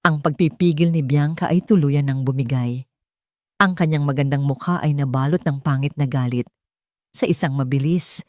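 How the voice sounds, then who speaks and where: Female Filipino narrator for dramatic romance audio stories; low voice, close dry sound, theatrical phrasing. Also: darkish tone, dry recording.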